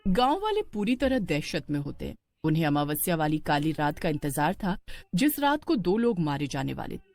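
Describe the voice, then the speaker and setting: Animated woman narrator. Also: low voice, normal speed, vocal fry. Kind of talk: scripted horror storytelling.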